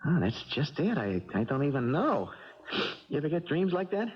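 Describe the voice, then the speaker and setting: A man's voice: a little high, sing-song, normal speed, slightly rough. An actor playing a sleepless, frightened young male boxer in a 1970s radio drama, stammering and groping for words. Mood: uneasy, groping. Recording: dull 1970s radio recording.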